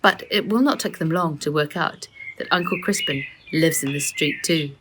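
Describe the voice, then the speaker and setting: A woman voicing a bright, inquisitive girl who eagerly works out a mystery in a children's storybook. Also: low voice, moderate pace, background noise, natural voice. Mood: reasoning. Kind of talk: children's storybook read aloud.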